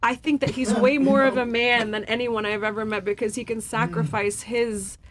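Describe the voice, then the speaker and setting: A woman's voice: a little high, steady tone, normal speed. A woman in her forties from New York, a brash podcast guest who talks up herself and swears casually. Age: 40s. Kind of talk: casual panel chat.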